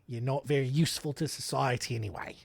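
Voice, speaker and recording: A man playing a condescending male court official who talks down slowly and sarcastically. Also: medium pitch, steady tone, vocal fry, slightly rough, no room sound, pitched below the performer's own voice. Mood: mocking.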